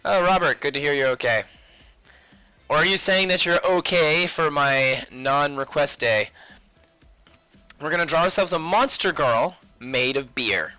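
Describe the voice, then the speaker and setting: Guy doing a chatty sketch stream, bright forceful voice, kinda playful, faint music in the back. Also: fairly high pitch, unhurried, clear, resonant tone, no echo. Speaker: livestream host, sketch artist. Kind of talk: casual livestream chat while drawing.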